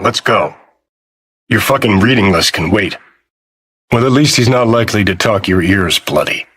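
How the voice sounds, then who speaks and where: A man voicing a cynical, abrasive rebel in a video game, with a gritty rasp and a sardonic, commanding way of talking. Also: lowish voice, sharp tone, heavily acted. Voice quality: raspy, gritty.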